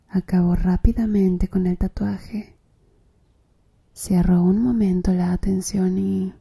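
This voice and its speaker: A woman's voice: on the low side, gravelly, warm tone, husky, exaggerated voice. A woman playing a flirtatious, teasing female tattoo artist in an ASMR roleplay, murmuring breathily and seductively to the listener. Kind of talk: Spanish-language ASMR roleplay.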